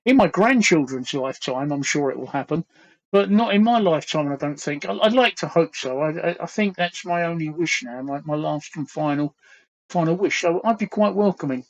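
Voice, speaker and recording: A man's voice: fairly high pitch, animated, fairly quick. A man in his sixties with a London Cockney accent, hosting a casual livestream chat, earnest and rambling, repeating phrases as he thinks. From East London, England. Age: 60s. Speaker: livestream host. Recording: dull lo-fi stream audio.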